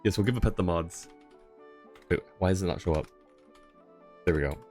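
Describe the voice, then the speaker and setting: A man's voice: deep voice, fairly quick, a little mellow, faint music behind. A man giving casual livestream game commentary and chatting with viewers.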